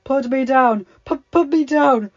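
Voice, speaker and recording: A woman voicing an arrogant, cruel young male hunter who sneers and boasts, with soft music behind. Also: a little high, a little slow, natural voice. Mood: panicked. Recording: dull lo-fi sound with music.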